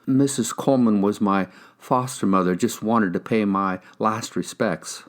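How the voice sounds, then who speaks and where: Man playing a guarded, low-voiced ex-convict. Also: unhurried, natural voice. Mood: guarded, polite. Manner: terse, subdued, polite. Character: guarded, deferential male ex-convict on parole. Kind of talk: audiobook character dialogue.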